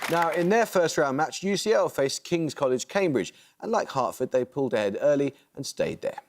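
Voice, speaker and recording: A man hosting a student quiz show, reading questions and giving rulings in a crisp, brisk manner. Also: a little high, lively intonation, some chatter behind. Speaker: quizmaster.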